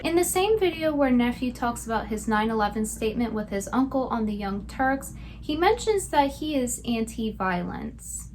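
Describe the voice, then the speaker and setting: A woman's voice: on the high side, animated, moderate pace, hardly any pauses, slight background noise. A woman delivering scripted commentary in a sarcastic manner. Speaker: video commentator.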